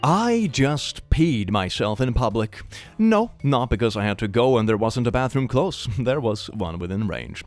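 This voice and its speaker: An animated man from Sweden gives comedic commentary with a mid-pitched voice that swings widely. He reads posts aloud in mock-dramatic fashion and answers them with sarcastic disbelief. Music plays underneath. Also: measured pace. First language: multilingual, not native English. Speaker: YouTube commentary host.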